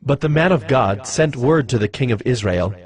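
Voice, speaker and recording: A man narrating scripture as a scripted story in a solemn, measured manner. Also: medium pitch, lively intonation, crowd noise. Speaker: Bible narrator.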